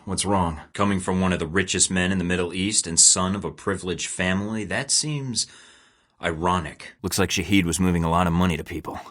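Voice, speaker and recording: A man's voice: low voice, steady tone, brisk, fairly bright tone. A man voicing a wry young secret agent who stays cool under pressure and talks in a dry, sarcastic, clipped way, recorded as video game voice lines. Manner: dry, sarcastic, clipped radio-style field talk.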